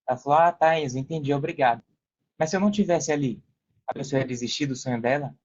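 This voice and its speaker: A man's voice: on the high side, fast, a little mellow, muffled recording. A young Brazilian man talking casually as an interview guest, explaining things and often tagging on questions. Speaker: podcast guest, online affiliate marketer working in support.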